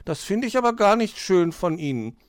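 Man as a high-voiced little hedgehog boy. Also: big pitch swings, normal speed, no echo, clean studio sound. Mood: earnest, disappointed. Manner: childlike, earnest. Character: small, polite, eager young hedgehog boy. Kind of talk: children's storybook reading.

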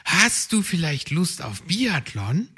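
A man's voice: fairly high pitch, big pitch swings, takes it slowly, sharp tone, slightly rough, pitched above the performer's own voice, heavily acted. A man voicing an eager young boy animal from a magic forest.

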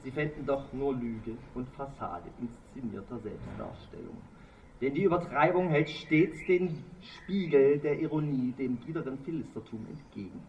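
A man's voice: medium pitch, sing-song, moderate pace, darkish tone, far from the mic, a little hiss or hum, low-quality recording. A man in his thirties reading aloud from his own travel book, in a wry, mock-grand way. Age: 30s.

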